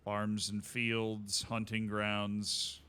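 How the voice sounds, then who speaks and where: A man's voice: fairly low pitch, monotone, extremely slow, sharp tone, smooth. A man streaming a strategy game, chatting casually with wry asides to himself.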